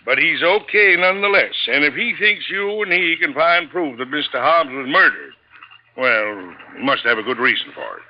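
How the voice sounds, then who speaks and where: A man's voice: a little high, lively intonation, normal speed, a little hiss or hum, lightly acted. An actor playing a friendly, reasonable local man in a 1950s radio drama, easygoing and explaining, on a dull old recording.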